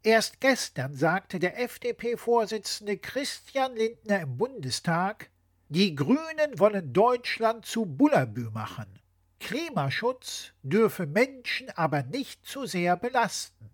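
A woman's voice: mid-range voice, very animated, slow, slightly rough. A woman co-presenting a scripted explainer dialogue.

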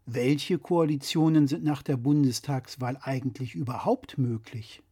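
A man's voice: mid-range voice, steady tone, a little slow, warm tone, breathy edge. A man presenting a scripted political explainer in a didactic, critical way.

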